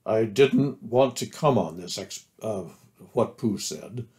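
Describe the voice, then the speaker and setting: A man voicing a gloomy, put-upon storybook donkey, grumbling in a halting, resigned way. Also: on the low side, lively intonation, darkish tone.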